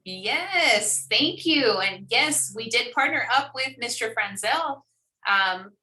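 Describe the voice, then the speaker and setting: A woman's voice: on the high side, animated, slow pace, pushed and bright. A woman hosting a casual school interview and welcoming her guest. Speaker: school event host interviewing a guest reader. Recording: slightly distant microphone.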